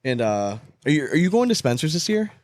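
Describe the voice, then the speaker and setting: A man's voice: on the high side, big pitch swings, brisk, a little mellow, no room sound. A man hosting a casual sports podcast chat, talking in a blunt, emphatic way and swearing freely. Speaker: podcast host.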